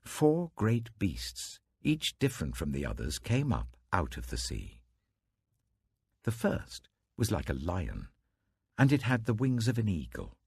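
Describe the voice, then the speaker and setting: A man voicing a faithful, sincere prophet in an earnest, measured and formal manner in a scripture story. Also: on the low side, huge pitch swings, creaky, a little mellow, husky, dry recording, studio quality, heavily acted. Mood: awed. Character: faithful male prophet, calm, sincere, reverent.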